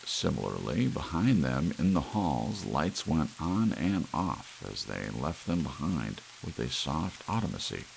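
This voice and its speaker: A man narrating a scripted suspense story as an ASMR audiobook, with soft rain behind him. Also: very deep voice, very animated, measured pace, vocal fry, hardly any pauses, rough recording. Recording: faint hiss and soft rain.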